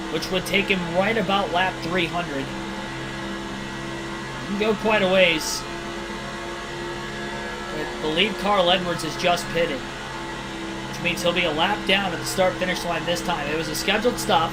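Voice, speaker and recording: A man giving steady play-by-play commentary while streaming a racing video game, often hedging his calls. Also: high voice, poor audio. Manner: steady race-caller delivery, hedging guesses. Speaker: game livestreamer. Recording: video game music behind. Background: game music.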